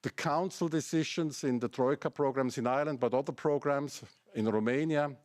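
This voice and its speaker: A man's voice: mid-range voice, normal speed. A middle-aged man with a German accent giving a seminar presentation, building his case through pointed rhetorical questions. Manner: argumentative, asks rhetorical questions. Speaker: academic speaker.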